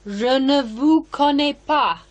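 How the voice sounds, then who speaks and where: An unflappable female investigator in a horror radio play. Her voice sits fairly high. She is blunt and matter-of-fact, with a wry edge. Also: slow. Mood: firm, probing. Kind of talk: horror radio drama dialogue.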